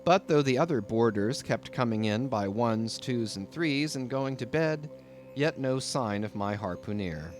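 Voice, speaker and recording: A man reading literary fiction as an expressive audiobook narrator. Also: mid-range voice, unhurried, faint music behind.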